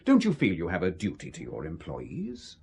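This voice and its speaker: A man's voice: low-pitched, wildly swooping pitch, moderate pace, darkish tone, husky, exaggerated voice. A man with a British accent playing a suave, coercive intelligence officer, condescending and coolly menacing, in an audio drama. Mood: smoothly menacing. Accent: British English.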